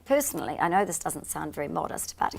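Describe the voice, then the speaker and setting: A self-assured woman, chatting as a talk-show guest. Her voice sits a little low, and she talks freely and laughs easily at her own lines. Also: sing-song, fast talker, gravelly, husky. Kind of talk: casual chat interview.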